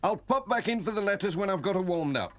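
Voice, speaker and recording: Man playing chirpy British village postman, fairly high. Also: animated, fast. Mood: cheerful. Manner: chirpy, polite, folksy. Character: cheerful, obliging village postman. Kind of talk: children's cartoon character voice.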